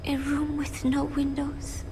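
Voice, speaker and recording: An actress playing a terse young woman assassin who speaks slowly and in hushed whispers. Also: high voice, completely flat delivery. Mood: whispered. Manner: few words, slow, hushed whispering. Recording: game cutscene with background noise.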